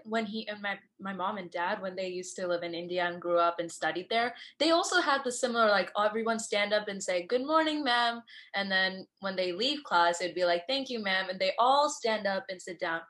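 A young woman from the United States talks casually on a podcast. She thinks out loud in a chatty, reflective way and drops plenty of "like" fillers along the way. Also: mid-range voice, expressive, brisk, few pauses.

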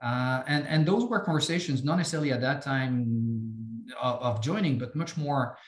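French-accented man in an echoey room. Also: mid-range voice. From France. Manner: halting, many repeated words and fillers. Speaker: company CEO guest. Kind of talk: podcast interview.

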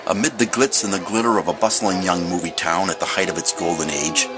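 An actor playing a solemn, theatrical narrator of an eerie mystery anthology, speaking in measured phrases. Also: male voice, low voice, a crowd in the background, close to an everyday voice, poor audio. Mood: grave, inviting. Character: ominous male narrator host of an eerie anthology. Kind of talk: 1950s-style mystery show narration. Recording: loud music under the voice.

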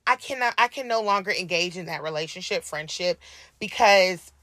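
A hoarse woman co-hosting a casual podcast, talking earnestly and encouragingly with drawn-out emphasis. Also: lowish voice, expressive, a bit bright, no room sound, studio quality. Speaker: podcast co-host.

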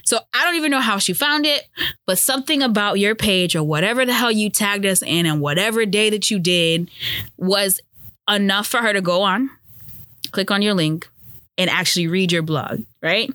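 A woman co-hosting a podcast, chatting casually in an animated, emphatic way and talking over the others. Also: medium pitch, normal speed, no echo, studio quality. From Haitian. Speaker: podcast co-host. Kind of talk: casual chat.

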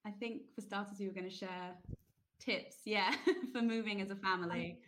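A woman's voice: mid-range voice, fairly even intonation, normal speed, fairly bright tone, a little hiss or hum. A woman from the Netherlands, an editor, talking on a webinar in a friendly, listing manner. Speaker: editor, panelist. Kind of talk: casual webinar talk.

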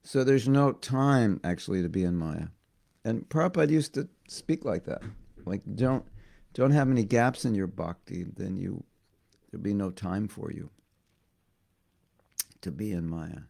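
A hoarse man giving a devotional class, talking haltingly and often repeating words as he thinks. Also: on the low side, a little mellow. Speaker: spiritual teacher.

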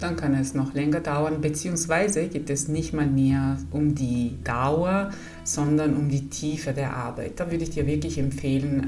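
A woman with an Italian accent speaks in a low, calm voice, guiding listeners through her podcast in a gentle, encouraging way. She sits a little back from the microphone, and quiet music plays faintly behind her. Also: recorded from a distance. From Italy. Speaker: psychologist and podcast host. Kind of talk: calm explanatory podcast talk.

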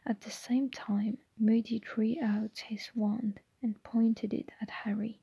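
A woman narrating a storybook in a soft, unvoiced ASMR whisper. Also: fairly high pitch, little pitch movement, slow pace, gravelly, breathy edge, no echo, dull audio. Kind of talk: ASMR audiobook reading.

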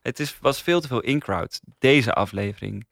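Male podcast panelist, mid-pitched, casual reviewer's talk, dry recording. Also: moderate pace, creaky, a bit bright, dry recording. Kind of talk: casual critical discussion.

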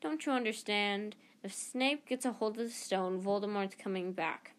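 A woman's voice: medium pitch, moderate pace, lightly acted. A woman doing an urgent defiant teenage boy. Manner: urgent and intense. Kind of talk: reading a children's novel aloud.

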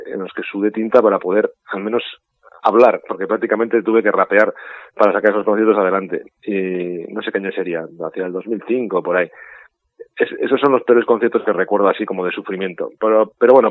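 A man's voice: on the low side, no room sound. A man with a Spanish accent, a musician chatting as a guest in a casual interview, self-mocking and laughing easily, heard over a phone line. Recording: tinny phone line, dry.

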